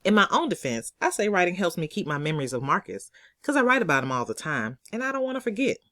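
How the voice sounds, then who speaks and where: A woman reading her own novel aloud as expressive first-person narration, in a conversational, sassy storytelling manner. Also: lowish voice, fast, no echo, studio quality.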